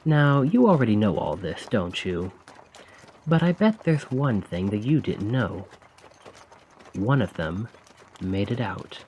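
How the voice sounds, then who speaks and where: Sly carriage driver played by a man, vengeful and coldly taunting, unhurried ASMR drama delivery, faint noise with horse hooves behind. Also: on the low side, sing-song, a little mellow, poor audio. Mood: sardonic, accusing. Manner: slow, sardonic, conspiratorial, taunting. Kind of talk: ASMR roleplay audio drama monologue.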